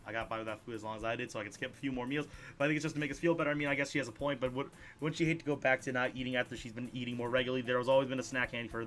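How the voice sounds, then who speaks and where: A man hosting a video game playthrough, reading dialogue aloud in an animated way, stumbling over words and adding his own side comments. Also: mid-range voice. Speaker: let's play host.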